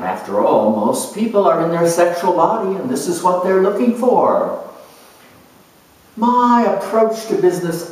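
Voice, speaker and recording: A man performing a first-person character monologue in a deliberate, measured, theatrical way with emphatic phrasing. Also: a little high. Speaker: astrologer dramatizing zodiac character types. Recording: echoey room, distant microphone.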